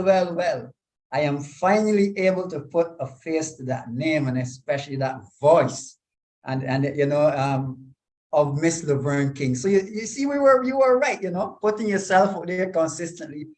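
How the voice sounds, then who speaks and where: A man's voice: a little high, normal speed, darkish tone. A man moderating a live online panel on a video call, courteous and a little apologetic as he reads out viewer comments.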